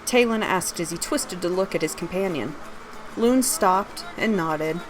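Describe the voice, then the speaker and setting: A woman's voice: fairly low pitch, a little slow, slight background noise, poor audio. A woman reading her own fantasy story aloud, narrating scripted prose and its dialogue.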